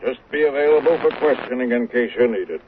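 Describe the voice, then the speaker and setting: A muffled 1970s radio soap recording. An actor plays a police lieutenant with a mid-pitched voice. He questions in a measured, probing, official manner. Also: animated, a little hiss or hum, natural voice. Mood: businesslike. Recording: muffled old radio broadcast.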